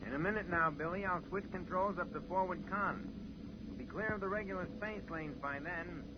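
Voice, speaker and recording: A man plays a patient, encouraging space captain in an old radio serial. The recording is muffled, with clear noise around his voice. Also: sits high, a little fast, natural voice. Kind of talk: vintage radio serial acting.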